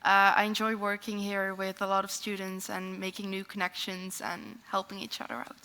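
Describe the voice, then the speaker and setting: Young woman, student, friendly, brief, bright, reverberant. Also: medium pitch, completely flat delivery, moderate pace. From Netherlands. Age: young adult. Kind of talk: self-introduction. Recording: long hall reverb.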